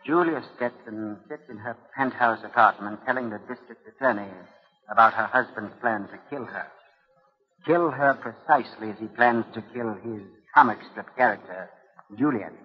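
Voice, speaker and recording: Male actor as mystery storyteller, husky, medium pitch, dramatic old-time radio delivery, dull recording. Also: moderate pace, natural voice. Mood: grave, suspenseful. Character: storyteller narrating a murder mystery. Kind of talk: 1940s radio acting, dramatic narration.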